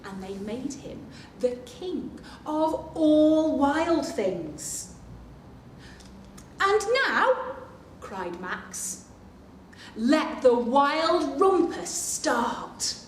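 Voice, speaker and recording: A woman reads a picture book to small children in a very slow, expressive storytelling voice. Her pitch is on the high side and she often turns to the listeners with asides and questions. The room is echoey around her. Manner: animated, expressive, with gasps and questions to the young listeners. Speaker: librarian reading to young children. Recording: echoey room, distant mic, faint noise.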